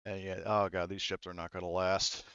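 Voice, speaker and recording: A man with a clear, smooth voice runs a tabletop roleplaying game. He talks informally and works things out aloud as he goes. The sound is very dry, with slightly muffled highs. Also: flat delivery, fairly quick, a bit bright, no echo. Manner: informal, sweary, hesitant, thinking aloud. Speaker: game master. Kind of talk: casual tabletop game narration.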